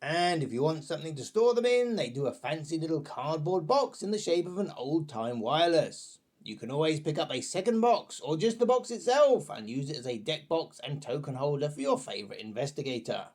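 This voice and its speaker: A man with a fairly high, very expressive voice narrates a scripted review. He sounds chatty and wry, dropping playful asides as he presents. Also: a little fast, hardly any pauses.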